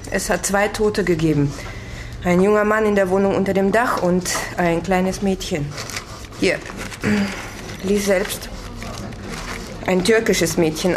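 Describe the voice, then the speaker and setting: An actress playing a matter-of-fact newspaper colleague in a German radio drama, plain and businesslike. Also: female voice, mid-range voice, a little slow, long pauses, a little hiss or hum, lightly acted. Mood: serious, informative.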